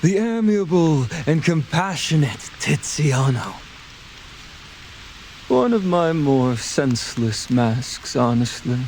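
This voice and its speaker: An actor playing an unhinged, sneering male game villain with a theatrical, drawn-out, mocking delivery. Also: fairly high pitch, very animated, slight background noise, heavily acted. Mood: mocking, menacing. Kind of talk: dramatic video game voice acting. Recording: loud music behind the voice.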